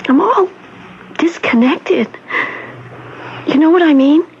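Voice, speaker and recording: A woman's voice: sits high, slow pace. An actress playing a timid, innocent young woman, polite and tentative, in an old-time radio drama. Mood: tentative, curious. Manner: soft-hearted, tentative, polite. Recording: muffled, noisy vintage radio broadcast.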